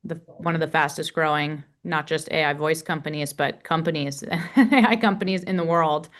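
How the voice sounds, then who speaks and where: A woman's voice: sits low, moderate pace. A woman hosting an interview on a video call, asking long, upbeat, winding questions. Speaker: host, venture investor. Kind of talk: interview host.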